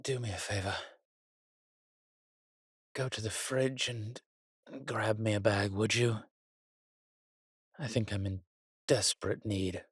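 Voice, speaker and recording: An actor playing a weary, wry, courtly vampire in a roleplay audio drama, speaking haltingly to the listener in a refined, slightly pompous manner. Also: male voice, lowish voice, fairly even intonation, husky, no echo, studio quality. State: weakened, recovering.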